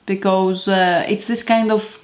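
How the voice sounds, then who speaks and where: A woman with a Greek accent speaks slowly in an unhurried home kitchen chat. Her voice sits at an ordinary middle pitch. She halts and restarts as she hunts for English words. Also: steady tone, darkish tone. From Greece. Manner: chatty, halting, searches for words. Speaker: home cook. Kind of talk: casual cooking video.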